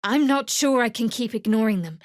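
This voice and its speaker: An actress playing a guarded, sardonic young cleric of a dark goddess in a video game, with a dry, wry delivery. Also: female voice, on the high side, animated, fairly quick, dry recording, close to an everyday voice. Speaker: game character.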